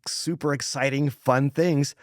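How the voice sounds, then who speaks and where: A man in his sixties with a mid-pitched voice, speaking as an author being interviewed. He talks in a loose, thoughtful way, full of "you know" and restarts. The sound is close and dry, with no room around it. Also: fairly even intonation, unhurried, dry recording. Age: 60s. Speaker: guest author. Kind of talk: casual podcast interview.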